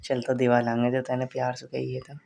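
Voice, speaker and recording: A man's voice: mid-range voice, completely flat delivery, fairly quick, darkish tone. A man chatting casually on a phone call, coaxing and repeating his questions. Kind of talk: casual intimate phone chat. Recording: clearly noisy phone call recording.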